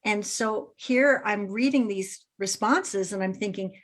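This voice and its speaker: A woman's voice: mid-range voice, a little slow. A middle-aged woman hosting a podcast, telling stories with enthusiasm and acting out quotes. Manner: enthusiastic storyteller, quotes others in lively voices. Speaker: podcast host. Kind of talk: casual interview chat.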